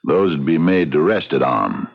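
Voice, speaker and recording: An actor playing a folksy, steady Old West sheriff with an American Western accent and a laconic drawl, in a radio drama. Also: male voice, a bass voice, moderate pace, vocal fry, full character voice. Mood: matter-of-fact. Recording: dull radio recording with faint noise.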